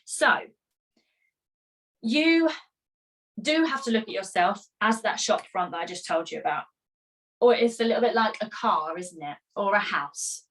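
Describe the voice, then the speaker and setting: A woman coaching musical theatre performers in a live online masterclass, energetic and encouraging, chatty with rhetorical questions. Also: medium pitch, measured pace, long pauses, poor audio. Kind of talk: live online masterclass, reading chat comments.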